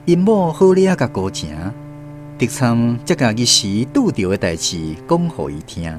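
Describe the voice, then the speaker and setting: A man's voice: medium pitch, sing-song, measured pace. A man with a Taiwanese accent narrating a literary radio drama from a script. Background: plucked guitar music.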